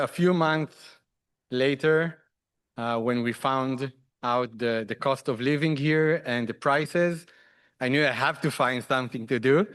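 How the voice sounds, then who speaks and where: A middle-aged man from Israel with an Israeli accent, giving halting remarks as a panelist with many restarts and fillers. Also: medium pitch, fairly bright tone, clear, resonant tone.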